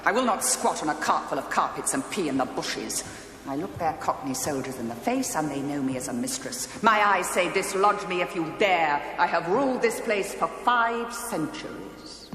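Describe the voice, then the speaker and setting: Imperious upper-class English widow, declamatory TV drama delivery, lively pitch, long hall reverb. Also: female voice, moderate pace. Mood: defiant. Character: haughty, imperious aristocratic English widow, fretful and snobbish. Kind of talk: theatrical television play dialogue. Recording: echoey stone hall, faint noise.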